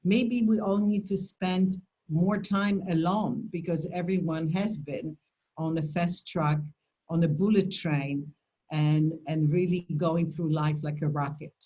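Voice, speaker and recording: A woman's voice: sits low, mellow, poor audio. An older woman from Poland with a Polish accent, presenting and answering questions in a webinar over a video call, speaking in an emphatic, motivational way.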